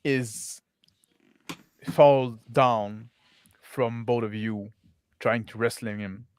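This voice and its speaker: A man narrating an improvised tabletop game as game master, piecing his descriptions together haltingly. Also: medium pitch, steady tone, clean tone, dry recording.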